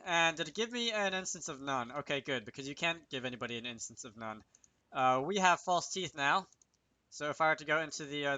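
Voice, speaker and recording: A man's voice: a little high, bright, forceful tone, no echo, highs cut off. A man hosting a casual programming tutorial, thinking aloud, muttering to himself and correcting himself as he works, close to a desk microphone. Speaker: host of a game programming tutorial.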